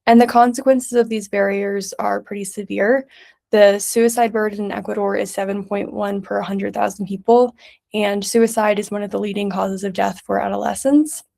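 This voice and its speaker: Young woman doing a student presentation, kinda creaky voice, reads off her notes step by step. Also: mid-range voice, darkish tone. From New York City. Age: young adult. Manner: clear, methodical, reading from prepared notes. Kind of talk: prepared slideshow presentation.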